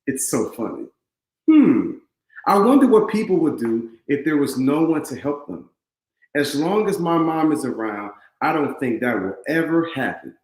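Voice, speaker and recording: Storybook role: man as affectionate eleven-year-old mama's boy, earnest and animated, roomy echo. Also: on the high side, normal speed. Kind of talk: read-aloud children's storybook.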